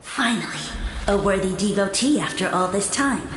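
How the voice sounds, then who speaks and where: A woman's voice: medium pitch, animated, normal speed, a bit bright, echoey room, slight background noise, natural voice. A woman voicing a pompous, condescending mushroom lord in a video game, with grandiose and archaic formal speech. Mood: lofty, intrigued. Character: pompous, condescending mushroom lord, self-styled sage of fungi.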